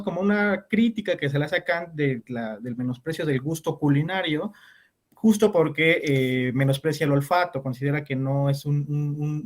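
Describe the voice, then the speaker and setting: A male conference speaker answering questions at an online philosophy panel, hesitant with frequent restarts and fillers. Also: fairly high pitch. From Latin America. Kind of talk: academic Q&A answer.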